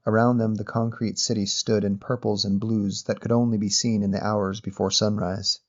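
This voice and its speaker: A man reading a literary short story as narrator in a measured, descriptive manner. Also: lowish voice, level tone, muffled recording.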